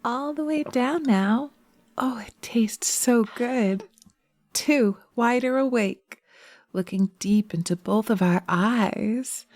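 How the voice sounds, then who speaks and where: A woman playing a seductive, vengeful witch in a hypnosis roleplay, coaxing softly and intimately, sometimes slipping into a whisper. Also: fairly high pitch, slow, no room sound. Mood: seductive, hypnotic. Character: sly, seductive, vengeful female witch casting a hypnotic spell. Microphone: close binaural mic, dry.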